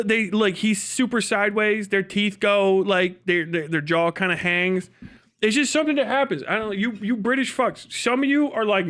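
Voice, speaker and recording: An American man with a notably high voice talks like a livestream host reacting off the cuff. His delivery is crude and mocking, full of casual swearing, and it wanders as he goes. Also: a bit bright. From United States. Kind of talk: casual profane commentary.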